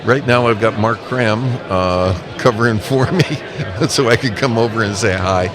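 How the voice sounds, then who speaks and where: Guy with a lowish voice being interviewed at a busy convention, crowd all around, explains stuff point by point, emphatic. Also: unhurried. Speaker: amateur radio association representative, interview guest. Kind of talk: casual live interview talk. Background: crowd chatter.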